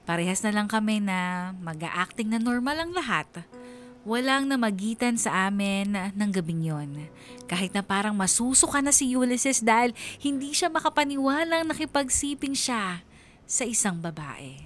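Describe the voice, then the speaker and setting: A young woman, played by an actress tells her story in a confessional first-person style. Her voice is mid-pitched, with faint music underneath, as in a radio drama. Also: big pitch swings, moderate pace, close to an everyday voice. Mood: regretful, recounting. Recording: music bed behind the voice.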